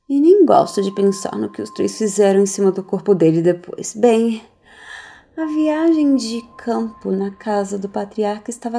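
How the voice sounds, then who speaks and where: A woman's voice: medium pitch, creaky, dark tone. An actress playing an unhinged, nihilistic woman in an audio drama, musing in a theatrical, sardonic way. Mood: bitter recollection. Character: unhinged woman recounting her cultish family's cruelty, mocking, nihilistic.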